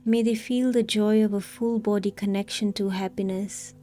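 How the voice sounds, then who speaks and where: Female meditation guide: soft, warm, relaxed timbre, mid pitch, calm scripted delivery with long pauses.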